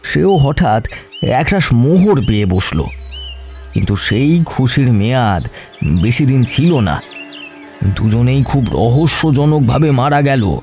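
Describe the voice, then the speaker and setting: A man playing a middle-aged village gentleman in a horror audio story, grave and fatherly, speaking slowly with pauses. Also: mid-range voice, animated, soft background music. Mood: ominous. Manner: slow, grave, pausing. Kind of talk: dramatic Bengali horror audio story acting.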